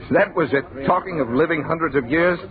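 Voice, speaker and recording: An actor playing a bewildered, frightened young man who tells his nightmare in halting, intense old-time radio narration, repeating words in disbelief. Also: a little high, a crowd in the background, natural voice. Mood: fearful, agitated. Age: young adult. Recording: narrow, muffled old radio broadcast.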